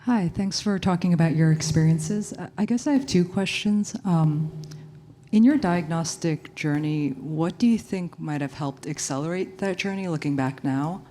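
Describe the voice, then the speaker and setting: A woman's voice: fairly low pitch, normal speed, warm tone, slight background noise. A woman in the audience asking a panel a question, polite and hesitant, thinking aloud.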